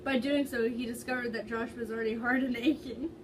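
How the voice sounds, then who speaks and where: A woman's voice: on the high side, fairly even intonation, brisk. A young adult woman doing an informal, dramatic amateur story reading, stumbling over the odd word. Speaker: reader of fan fiction. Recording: distant mic with loud background noise.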